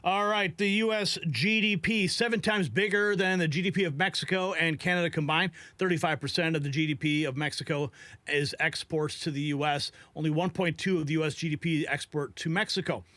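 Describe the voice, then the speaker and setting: A man's voice: a little high, steady tone, brisk, pushed and bright, no room sound. A man hosting political news, giving opinionated live commentary.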